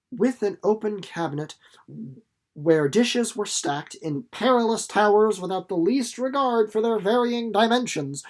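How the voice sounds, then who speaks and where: A narrator reading a children's novel aloud in an expressive, deliberately phrased storytelling way. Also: medium pitch. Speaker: audiobook reader.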